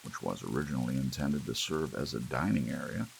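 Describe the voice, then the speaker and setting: Very deep, gravelly man reading a bedtime story. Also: fairly quick. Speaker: audiobook narrator. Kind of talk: hushed bedtime-style story narration, ASMR. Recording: steady rain and hiss behind. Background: soft rain.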